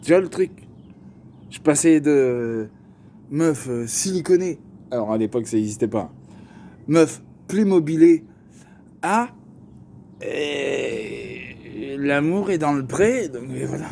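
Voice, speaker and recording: A man's voice: a little high, lively intonation, takes it slowly, vocal fry, dark tone, a little hiss or hum. A young man with a Parisian accent telling a personal story to camera, slangy and joking, with lots of fillers. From Paris region. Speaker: vlogger.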